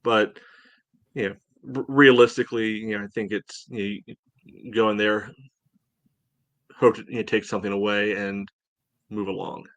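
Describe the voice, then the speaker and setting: Measured man talking soccer, dry close sound. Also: on the low side, little pitch movement, a bit bright, dry recording. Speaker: sports podcast co-host. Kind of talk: casual soccer analysis.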